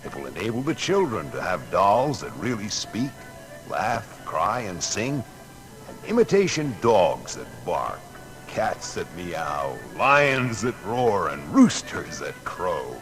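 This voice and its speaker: An actor playing a proud male inventor recounting his discoveries in a deliberate, declamatory, gravelly voice for an audio biography. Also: sits low, wildly swooping pitch, soft background music, exaggerated voice. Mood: proudly visionary. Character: Thomas Edison, American inventor recounting his discoveries with pride. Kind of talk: dramatised first-person narration.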